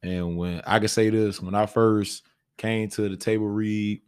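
A man's voice: fairly low pitch, steady tone, unhurried, a little mellow, clear, resonant tone. A man with an American accent telling a story casually, in slangy everyday talk full of check-in phrases. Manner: slangy, often says "you know what I'm saying". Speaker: stage actor discussing his part.

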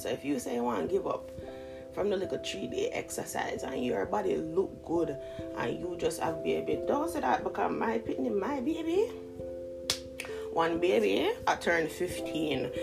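A woman's voice: fairly low pitch, vocal fry, rough recording. A woman in her thirties with a Jamaican Patois-inflected Toronto accent, talking straight to camera in a casual motivational monologue, laughing often and switching between slang and standard English. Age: 30s. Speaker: vlogger.

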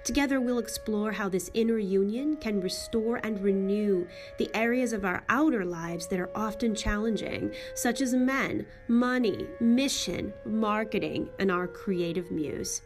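A woman's voice: mid-range voice, unhurried, few pauses, soft background music. A woman hosting a solo spiritual podcast, sounding nasal from a head cold and speaking in an earnest, reflective way with frequent fillers. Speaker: podcast host and spiritual coach.

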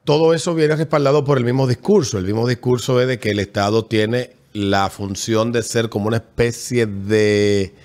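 A man, co-hosting a podcast in casual political chat, measured and argumentative. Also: mid-range voice, a little mellow. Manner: measured, argumentative, recounts conversations. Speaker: podcast co-host.

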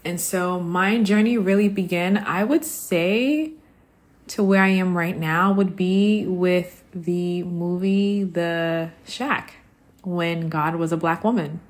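A woman with a slightly low voice speaks as the host of a personal podcast. Her delivery is very unhurried and reflective, broken into short phrases with pauses between them. The style is conversational, as in a solo monologue to her listeners. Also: steady tone.

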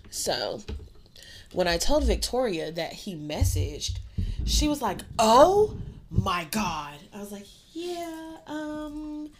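A woman's voice: on the high side, sing-song, slow. A young woman podcast co-host chatting casually and swearing freely, with lots of "um" and "like", while getting over a cold. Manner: chatty, profane, many fillers like "um" and "like". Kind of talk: casual chat.

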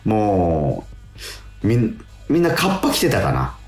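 A man's voice: lowish voice, wildly swooping pitch, measured pace, a little mellow, echoey room, soft background music. A man co-hosting a radio talk, telling stories in a polite, chatty way with repeated words and hesitations. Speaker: radio show co-host and stage actor.

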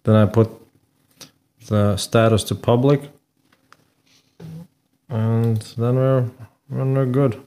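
Guy hosting a live stream, mellow voice, laid back questions. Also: mid-range voice, fairly even intonation, slow, pauses often. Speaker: livestream host. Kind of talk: casual interview.

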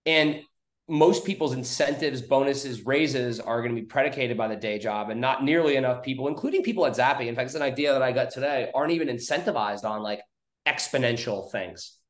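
A man speaks in a medium-pitched voice as a podcast host. His delivery is casual, blunt and loose, as if thinking aloud. The sound is noticeably echoey, like an untreated room. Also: brisk.

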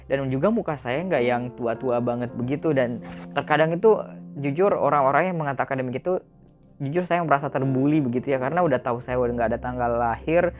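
A man's voice: medium pitch, highs cut off. A young man hosting an audio podcast, telling his own story in a casual motivational monologue, chatty and full of fillers, dropping in English words. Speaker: podcast host. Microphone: audio podcast recording.

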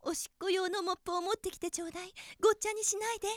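Casting: cartoonish office lady, fussy and over-earnest, extremely high voice, loud noise behind. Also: fairly even intonation, rapid-fire. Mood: anxious, urgent. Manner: squeaky, urgent, over-earnest. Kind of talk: comedic radio drama acting. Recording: noisy, clicking sound behind voice.

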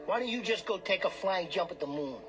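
A sour barfly in a radio play, his voice on the high side for a man. He is combative and sarcastic, spitting out surly retorts over music. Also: expressive, a little fast. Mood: surly, defiant. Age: 30s. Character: sour, combative man of thirty-six drinking at a bar. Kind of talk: radio drama acting.

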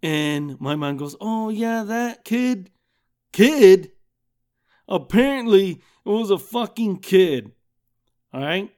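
A middle-aged man hosts his podcast and tells a childhood story in a loud, swearing, lively voice. His voice sits a bit high for a man. He laughs often and keeps the telling casual. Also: slow, long pauses, smooth. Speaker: podcast host.

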